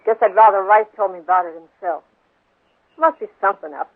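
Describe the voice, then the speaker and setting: An actress playing a plain, hospitable, uneasy rancher's wife in a 1950s radio western, with clipped, folksy country phrasing. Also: female voice, medium pitch, brisk, natural voice. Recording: muffled, narrow 1950s radio recording.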